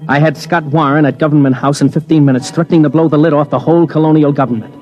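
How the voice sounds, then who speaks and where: A man's voice: on the high side, steady tone, fast talker, close to an everyday voice. An American man playing a hard-bitten newspaper reporter, narrating his story in a terse, wry 1950s radio drama style. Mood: grave, reflective. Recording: muffled old radio recording, faint music.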